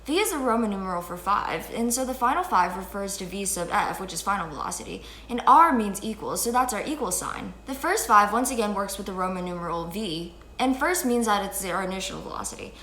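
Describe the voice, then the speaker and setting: A woman's voice: mid-range voice, lively intonation, a little fast, hardly any pauses, pushed and bright, a little hiss or hum. A fifteen-year-old girl hosting an educational video, explaining physics in an upbeat, chatty, self-deprecating way while seated indoors in front of a fixed camera. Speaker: host. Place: indoor room with window blinds. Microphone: fixed camera at chest-up framing. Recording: echoey small room.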